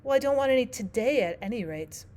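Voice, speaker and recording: A woman's voice: fairly high pitch, lively intonation, fast talker, a little mellow, natural voice. A woman voicing an earnest, polite seven-year-old storybook girl with a questioning manner. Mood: puzzled.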